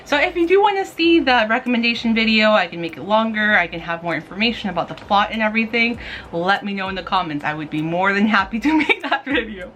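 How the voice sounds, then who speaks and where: A woman's voice: mid-range voice, animated, fast talker, a bit bright, a little hiss or hum. A woman talking casually and enthusiastically like a vlogger reviewing for her viewers, in a giggly, slangy, gushing fan manner. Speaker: YouTube host giving manga recommendations. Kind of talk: casual, chatty review to camera.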